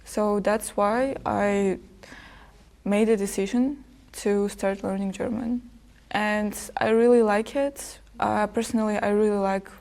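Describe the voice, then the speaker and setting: A young woman, a student, talking thoughtfully on a podcast with slow, hesitant phrasing. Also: medium pitch, fairly even intonation, creaky, a little mellow. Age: young adult. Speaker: podcast guest. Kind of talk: casual conversation. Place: podcast studio.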